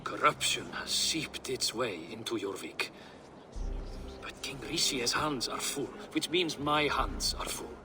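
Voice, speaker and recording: A man's voice: mid-range voice, lively intonation, measured pace, music in the background, lightly acted. A man voicing a cautious, weary Norse elder. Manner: cautious, reasoned. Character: older male Norse official, cautious and weary. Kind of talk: video game cutscene acting.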